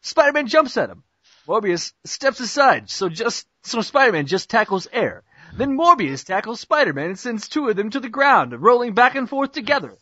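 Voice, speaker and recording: Young man, hammy amateur narrator, high pitch, bright forceful tone, stumbles over lines, muffled dry call audio. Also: very animated, moderate pace, no echo. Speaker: narrator in a group script reading. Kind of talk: amateur mock-dramatic narration of a cartoon parody.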